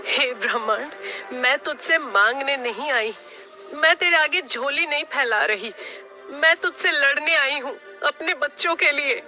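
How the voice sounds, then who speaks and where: High, bright, impassioned mother bird, voiced by a woman. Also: a little slow, faint music behind, full character voice. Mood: defiant, anguished. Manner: impassioned, dramatic pleading. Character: anguished, defiant mother bird pleading for sick chicks. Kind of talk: Hindi cartoon story acting.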